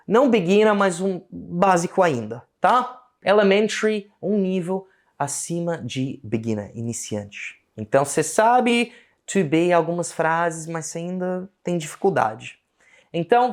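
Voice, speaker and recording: An Australian man who speaks Portuguese with an English-speaker's accent, giving a casual online English lesson and slowing down for his English examples. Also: on the high side. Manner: animated, switches between Portuguese and slow English examples. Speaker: English teacher.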